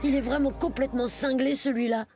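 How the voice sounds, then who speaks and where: A woman's voice: high-pitched, steady tone, a little fast, darkish tone, faint music behind. A woman voicing a grumpy, mocking schoolboy in a cartoon, with a sneering, slangy way of talking. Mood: rattled, scornful. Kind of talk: French animated series dubbing.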